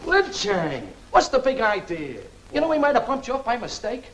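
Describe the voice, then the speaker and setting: A man's voice: very high voice, huge pitch swings, moderate pace, heavy background noise, heavily acted. A man playing a threatening, slangy gangster in a 1940s film serial, recorded distant and echoey. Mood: tough, wary. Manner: tough, slangy. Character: rough, threatening armed gangster, adult male.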